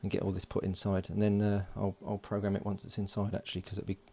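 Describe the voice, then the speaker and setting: Low, gravelly man muttering at a workbench. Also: fast talker. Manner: mutters along while working, relaxed and matter-of-fact. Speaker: electronics hobbyist. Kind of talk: casual build commentary. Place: home workbench, indoors.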